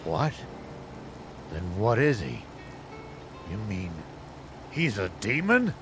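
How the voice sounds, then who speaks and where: Casting: grave older soldier for a game, protective, low voice with lively inflection, music behind. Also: male voice, slow, full character voice. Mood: startled. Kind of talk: video game voice acting.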